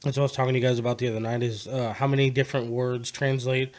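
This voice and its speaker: A man with a mid-range voice hosts a relaxed podcast conversation. He talks loosely and swears all the time. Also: medium pitch, rapid-fire. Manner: laid-back, swears constantly, laughs.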